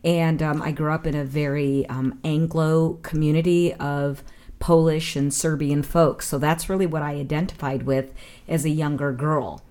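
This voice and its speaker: A woman in her sixties from Cleveland, speaking in a reflective, measured way as a panelist in a casual discussion. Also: deep voice, fairly even intonation, mellow. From Cleveland, Ohio, USA.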